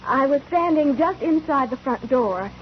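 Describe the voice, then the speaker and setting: A young female witness in an old radio courtroom drama. Her voice is very high, her answers careful and polite. The recording is dull with a light layer of noise. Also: expressive, measured pace. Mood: composed, careful. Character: composed, cooperative young woman witness. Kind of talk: 1940s radio acting.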